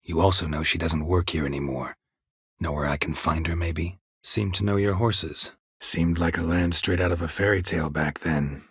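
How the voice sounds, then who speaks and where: A man voicing a gruff, stoic, dry-witted monster hunter in a video game, in a husky rasp with a terse, deadpan manner. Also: sits low, moderate pace, no echo. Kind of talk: video game character dialogue.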